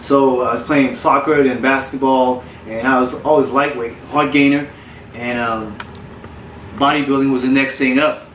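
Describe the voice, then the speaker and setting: A man who grew up in South Florida, a personal trainer telling his story in a relaxed, candid way with frequent restarts. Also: medium pitch, normal speed, slight background noise. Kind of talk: casual podcast interview. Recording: roomy, distant mic with faint ambience.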